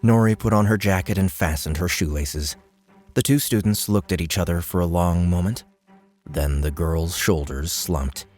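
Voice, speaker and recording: A male audiobook narrator with a deep, low-pitched voice. He delivers scripted fiction as dramatic narration. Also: normal speed, a little mellow, soft background music.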